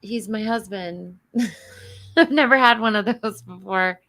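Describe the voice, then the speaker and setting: A woman, hoarse and tired, chatting casually as a guest, often trailing off mid-sentence. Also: medium pitch, takes it slowly, a bit bright. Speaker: guest, host's new wife. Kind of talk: casual chat.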